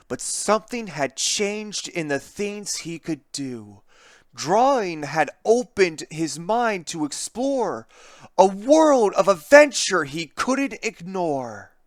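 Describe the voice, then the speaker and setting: A narrator reading a children's picture book aloud in an expressive, theatrical way, with deliberate emphasis on the rhymes. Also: medium pitch, dry recording, studio quality.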